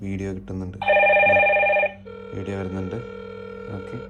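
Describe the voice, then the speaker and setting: Low, flat-toned Malayali man explaining steps. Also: normal speed, heavy background noise. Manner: step-by-step, matter-of-fact. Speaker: tutorial presenter, installer. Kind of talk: hands-on technical walkthrough, unscripted.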